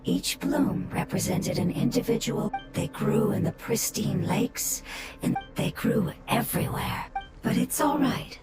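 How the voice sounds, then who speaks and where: A mysterious, motherly wanderer in a video game. Her voice is on the high side, gentle and measured with a hesitant touch, set under loud music. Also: lively intonation. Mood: wistful. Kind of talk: English video game voice acting.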